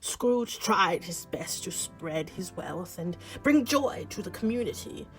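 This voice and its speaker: A woman's voice: on the low side, expressive, unhurried, soft background music. A woman playing a droll, grand storyteller, giving dramatic scripted narration for a radio play. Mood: droll storytelling.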